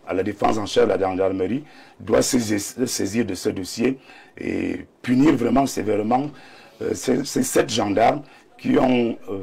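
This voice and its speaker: A man's voice: on the low side, gravelly, a little mellow, breathy edge. A man with a Cameroonian accent and a slight cold gives emphatic debate commentary as a panelist, often repeating key words for weight. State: slight cold, throat clearing.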